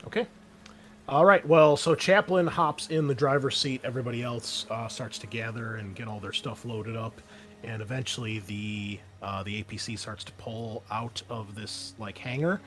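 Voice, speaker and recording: A man's voice: medium pitch, fairly even intonation, normal speed, a bit bright, slight background noise. Informal, hesitant male game master. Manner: informal, hesitant phrasing with frequent "like". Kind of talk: improvised tabletop game narration.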